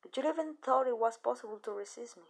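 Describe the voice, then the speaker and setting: A woman playing a calm, possessive vampire owner in an ASMR roleplay, speaking softly and deliberately with pauses. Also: fairly high pitch, vocal fry. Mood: soft, condescending. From Mexico. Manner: measured, deliberate, soft-spoken with pauses. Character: calm, possessive female vampire owner addressing her human. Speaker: amateur voice actress.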